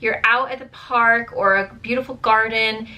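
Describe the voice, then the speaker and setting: A woman reviewing beauty products to camera in a chatty, rambling way, full of fillers, her voice strained and going hoarse. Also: fairly high pitch, steady tone, moderate pace, sharp tone. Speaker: beauty vlogger.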